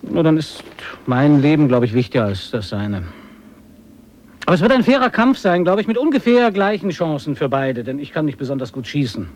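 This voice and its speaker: A man acting a proud, earnest and excitable polar explorer in a radio drama, thinking aloud expressively as if interviewed. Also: on the high side, brisk, slight background noise. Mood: earnest, animated. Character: excitable male polar adventurer, proud, earnest, devoted to his dog.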